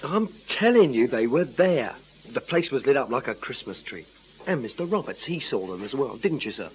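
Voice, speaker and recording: An actor playing an earnest, deferential detective constable who is easily puzzled, in a radio crime drama. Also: male voice, medium pitch, sing-song, normal speed, slight background noise, natural voice. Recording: muffled radio drama recording.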